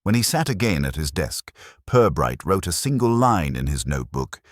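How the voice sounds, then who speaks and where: A man reading a scripted mystery story as narrator, in a measured, literary storytelling manner. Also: low voice, sing-song, no echo, clean studio sound.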